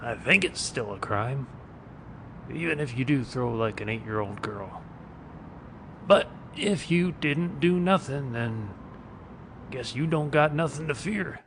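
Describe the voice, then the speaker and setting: A man voicing a wry, taunting street bum and vigilante sidekick in an animated series, mock-polite and sardonic. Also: measured pace, long pauses. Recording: clear low rumbling background noise.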